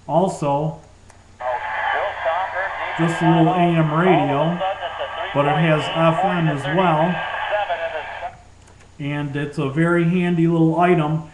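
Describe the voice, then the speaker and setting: A man's voice: a little high, slight background noise. A man giving an informal, enthusiastic product demonstration as a presenter. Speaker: presenter demonstrating survival gear. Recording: roomy, echoing sound.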